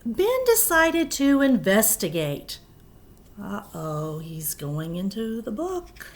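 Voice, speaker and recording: A woman teacher reading a picture book aloud to young children, animated and expressive, with chatty asides. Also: mid-range voice, slow pace.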